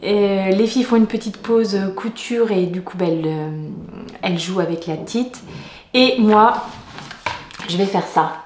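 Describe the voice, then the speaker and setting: A chatty middle-aged woman talks her way through a relaxed home vlog, teasing herself and stumbling over her words. Her voice sits at a moderate pitch and rings in an echoey room. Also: a little slow, a little hiss or hum. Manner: chatty, self-mocking, many fillers and restarts. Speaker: vlogger. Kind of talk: casual vlog chat. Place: home kitchen and dining room.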